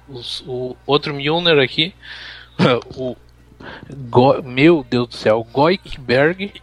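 A Brazilian man co-hosting a motorsport show, reading results haltingly and stumbling over names. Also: medium pitch. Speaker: race show co-host. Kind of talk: casual podcast chat.

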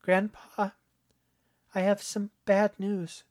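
A man narrating a story, voicing an earnest, worried young boy in a high, urgent voice. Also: little pitch movement, takes it slowly, a little mellow, dry recording, studio quality. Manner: urgent, pleading boyish voice.

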